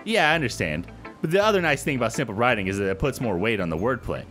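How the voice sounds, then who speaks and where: Animated man doing let's-play commentary. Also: medium pitch, fast. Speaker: gaming video host. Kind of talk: casual let's-play commentary and dialogue reading. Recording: game music under the voice. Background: game music.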